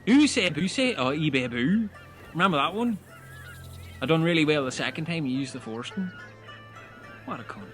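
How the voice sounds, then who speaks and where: A man's voice: a little high, expressive, moderate pace, pauses often, fairly bright tone, faint music behind. A man playing a crude, dim, oddball castaway in an amateur comedy audio drama. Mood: silly.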